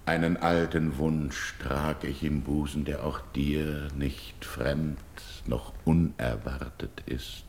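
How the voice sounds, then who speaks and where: A proud older king in a classical radio play, speaks very low with a gravelly creak. His is solemn and slow, declaimed as verse in a roomy space. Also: steady tone, slight background noise, full character voice. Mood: solemn, restrained. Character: grieving, proud older king, childless widower-like ruler seeking a bride.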